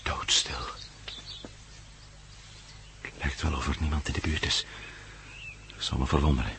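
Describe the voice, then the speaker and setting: An actor playing a wary, defiant adventure hero in a radio drama, speaking tersely. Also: male voice, a bass voice, steady tone, a little fast, creaky, sharp tone, whispered, exaggerated voice. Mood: wary, muttering. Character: defiant, wary adventure hero trying to rescue his wife. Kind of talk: Dutch radio drama acting. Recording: noisy radio recording with outdoor ambience.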